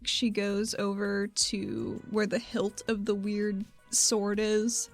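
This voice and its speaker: A woman's voice: medium pitch, slow. A woman improvising her character's actions in a tabletop roleplaying game, in an informal, giggly way. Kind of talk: casual improvised roleplay narration. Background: background music.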